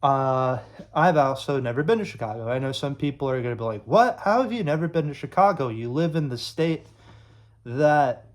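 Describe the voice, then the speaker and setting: A man's voice: medium pitch, animated, moderate pace. A young man from Illinois chatting casually to camera, rambling with lots of fillers and restarts. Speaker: YouTube sports creator.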